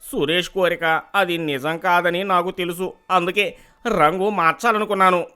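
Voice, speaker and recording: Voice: mid-range voice, steady tone, normal speed, pushed and bright, clean tone, lightly acted. A voice actor playing a greedy, scheming village priest who talks in a blustering, pleading way in a cartoon story. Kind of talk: animated cartoon voice acting.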